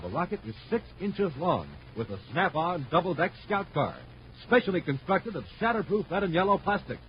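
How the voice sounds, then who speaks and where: A man acting as a hearty, emphatic American announcer in 1950s radio style, on an old recording. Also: fairly high pitch. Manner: emphatic, enthusiastic. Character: hearty grown-up male pitchman for boys' space toys. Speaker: announcer and commercial pitchman. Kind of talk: 1950s radio announcing, scripted sales pitch and narration. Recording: muffled, noisy vintage radio recording.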